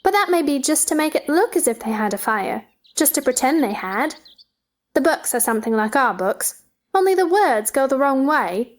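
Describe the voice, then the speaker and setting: Brief: high-pitched, imaginative little girl played by a woman, chatty and eager, full of questions, for a storybook play. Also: very animated, normal speed. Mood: wondering, eager. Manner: eager, childlike, inquisitive.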